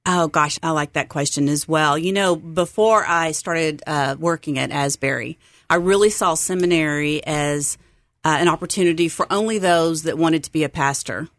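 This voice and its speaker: A woman's voice: low voice, moderate pace, a bit bright, no echo, studio quality. A middle-aged woman from Texas, speaking as an upbeat, earnest guest in a conversational radio interview. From Texas, United States. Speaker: interview guest, seminary site coordinator.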